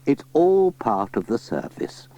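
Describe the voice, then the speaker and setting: A man's voice: medium pitch, sing-song, measured pace, warm tone. A man voicing a friendly, patient, helpful village postman in a children's storybook. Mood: cheerful, patient. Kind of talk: children's storybook cassette reading. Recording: slightly dull cassette, faint music.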